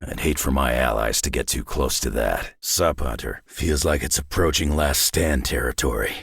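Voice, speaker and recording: Game casting: gruff, sardonic tough guy, very low and gravelly, cocky and battle-worn. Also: moderate pace, a bit bright, dry recording, clean studio sound, full character voice. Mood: wry, low-key. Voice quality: gravelly, raspy growl. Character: gruff, cocky, battle-hardened mutant brawler, adult man. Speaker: video game character voice actor. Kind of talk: video game voice lines.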